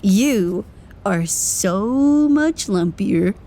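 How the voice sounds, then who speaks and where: A woman's voice: mid-range voice, expressive, drawn-out words, dark tone, full character voice. A woman acting in an audio drama as someone whimsically muttering to herself in a casual, rambling way. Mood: musing, playful.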